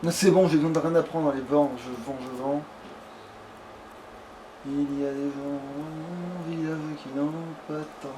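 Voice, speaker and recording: A man's voice: on the high side, fairly even intonation, normal speed, background noise. A man chatting casually as a let's player, in a crude, slangy, grumbling way. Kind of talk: casual gaming chat.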